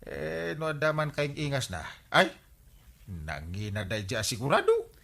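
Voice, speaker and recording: A man plays a fussy, complaining neighbor in a comic Ilocano radio drama. His bright, forceful voice leaps wildly up and down as he whines and exclaims in exasperation. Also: medium pitch, huge pitch swings, a little slow, gravelly, exaggerated voice. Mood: exasperated, whining.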